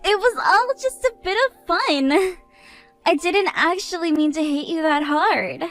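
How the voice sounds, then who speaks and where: A woman's voice: very high voice, animated, unhurried, a bit bright, faint music behind, heavily acted. A woman voicing a drunk, taunting young woman who keeps giggling in a mocking, sing-song way. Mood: mocking tipsy.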